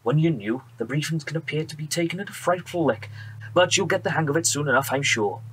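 An actor playing a friendly, assured wartime bomber pilot with a British accent, brisk and reassuring, in a radio drama. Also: male voice, fairly high pitch, fairly bright tone, husky. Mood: brisk, businesslike. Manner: brisk, reassuring, polite officer. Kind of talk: period radio drama acting. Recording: noisy aircraft engine background.

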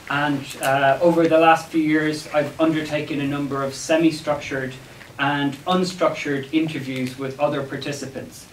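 A man with an Irish accent presenting an academic paper in a measured, slightly hesitant way to a seminar room. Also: a little high, a bit bright. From Ireland. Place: wood-panelled seminar room, indoors. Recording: echoey room, distant mic, background noise.

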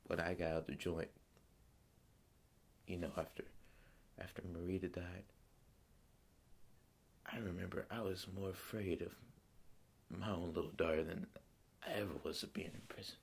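A teenage male performer playing a grieving, bitter ex-convict father in a halting monologue. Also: low-pitched, flat delivery, vocal fry, husky, heavily acted. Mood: tender, sorrowful. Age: teenager playing older. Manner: halting, hushed delivery that strains into bursts.

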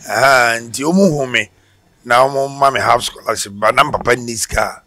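A middle-aged Ghanaian man with a Ghanaian accent, a former footballer telling his story in a relaxed way, with restarts, in a casual outdoor interview on a headset mic. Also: mid-range voice, moderate pace. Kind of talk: casual interview in Twi mixed with English. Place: outdoor porch by brick wall.

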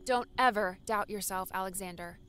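A woman's voice: mid-range voice, moderate pace, a bit bright, faint music behind, lightly acted. A woman playing an earnest, encouraging young woman in a dramatised audiobook. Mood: earnest, reassuring. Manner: earnest, reassuring.

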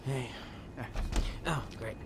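An actor playing a chatty young American office programmer in a video game, rambling and grumbling in jargon. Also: male voice, drawn-out words, background noise. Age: young adult. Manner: rambling, jargon-heavy, slacker-like. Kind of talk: video game cutscene acting.

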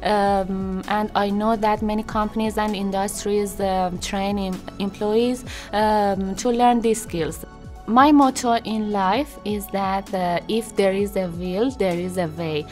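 A woman's voice: medium pitch, fairly even intonation, takes it slowly, faint music behind. A woman in her thirties from Iran, a native Persian speaker, introducing herself to camera in a studio, with many hesitant fillers. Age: 30s. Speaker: job seeker introducing herself. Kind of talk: unscripted self-introduction to camera. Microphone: seated, medium shot.

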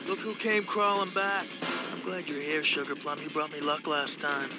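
An actor playing a cocky young street racer villain in a video game, with a smug, menacing swagger. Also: male voice, on the high side, fairly even intonation, moderate pace, close to an everyday voice. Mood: taunting. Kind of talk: video game cutscene acting. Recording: loud music over the voice.

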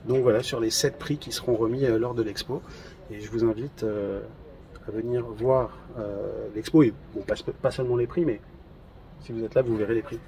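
A man in his thirties presenting trophies outdoors in a garden, in a relaxed, hesitant casual chat with many fillers. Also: medium pitch, normal speed, slight background noise, low-quality recording. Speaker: event organizer presenting trophies.

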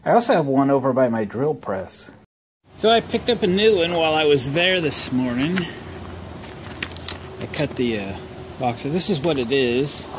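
A man with a mid-range voice hosts a casual workshop vlog. He is informal and folksy, thinking out loud as he goes. The recording is made in a driveway and open garage, with noticeable background noise. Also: medium pitch, sing-song, moderate pace, long pauses, poor audio. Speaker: DIY workshop host. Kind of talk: casual tool demonstration vlog. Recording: clear background noise, outdoor ambience.

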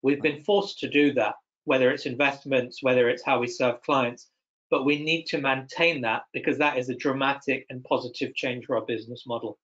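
A man's voice: mid-range voice, fairly even intonation, moderate pace, fairly bright tone. A man giving considered answers as an executive panelist on a video call. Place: indoor room, on a video call. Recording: dull video-call audio.

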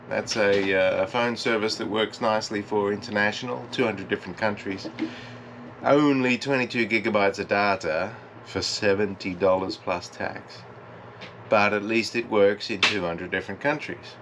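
Easygoing male sailing vlogger, chatty and unscripted, on a camera with clear boat noise. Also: on the low side, normal speed, fairly bright tone. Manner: chatty, easygoing, thinking aloud with fillers. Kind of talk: casual vlog chat. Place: on a sailboat, on deck and in the cabin. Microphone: action camera held at arm's length.

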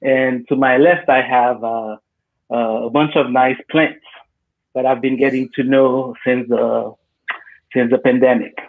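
Guy from haiti on zoom, talks real slow, thin phone-like sound. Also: mid-range voice, level tone. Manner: slow and hesitant, with ums. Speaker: panelist, filmmaker. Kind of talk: self-description on a video panel. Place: room at home with plants. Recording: tinny phone-like video call.

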